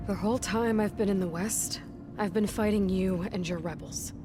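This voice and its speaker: An actress playing a resolute young heroine in a video game, asking questions in a direct, serious way. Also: female voice, medium pitch, normal speed, noisy background, lightly acted. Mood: serious, probing. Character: young heroine, resolute, probing, unimpressed by her enemy. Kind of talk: dramatic video game acting. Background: game soundtrack music.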